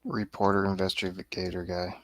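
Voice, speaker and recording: A man from Ireland in a casual review chat, giving terse, deadpan replies as co-host. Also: deep voice, normal speed, no room sound. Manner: terse, deadpan, dry one-word answers. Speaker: co-host of a film review show.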